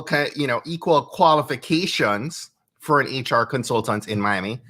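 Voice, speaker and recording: A man's voice: mid-range voice, sharp tone. A man in his thirties with a Jamaican accent, hosting a live stream and giving marketing tips in a conversational way, often checking in with "right?". He speaks into a studio microphone in a home studio. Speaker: host. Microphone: studio microphone with headphones.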